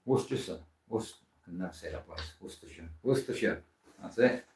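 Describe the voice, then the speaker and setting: Deep-voiced chatty Welsh-born man in a workshop. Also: expressive, brisk, darkish tone. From Wales. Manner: chatty, rambling, self-deprecating. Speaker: woodturner hosting a live stream. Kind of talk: live workshop demonstration chat. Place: woodworking workshop. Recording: echoey workshop, distant mic.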